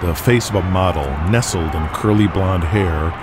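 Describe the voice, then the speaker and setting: A man narrating a scripted audio drama in a measured, descriptive way. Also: low-pitched, music in the background.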